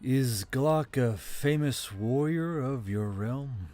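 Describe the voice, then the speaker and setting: A man voicing a polite, mild, curious magical spirit in an audio story, speaking in a hushed, measured, formal way. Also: medium pitch, lively intonation, faint music behind.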